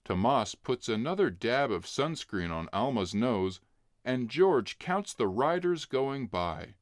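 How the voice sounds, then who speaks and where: Male narrator for a kids' read-along, very lively intonation, unhurried, dry close sound. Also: mid-range voice, dry recording. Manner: expressive, clearly acting out dialogue. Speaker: narrator reading a children's book. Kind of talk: scripted storybook narration for young children.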